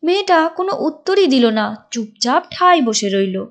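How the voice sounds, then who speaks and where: A woman's voice: sits high, sing-song, normal speed. A woman reading scripted storybook narration for a cartoon as its narrator. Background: music.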